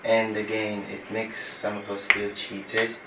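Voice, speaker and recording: A man's voice: fairly low pitch, monotone, slow. A man being interviewed, giving his opinion hesitantly with many fillers. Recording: distant mic, echoey room, background noise.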